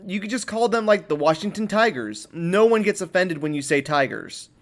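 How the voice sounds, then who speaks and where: A man hosting a comedy podcast in a mock interview style, informal and halting, with an exasperated manner. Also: high-pitched, lively intonation. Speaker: podcast host.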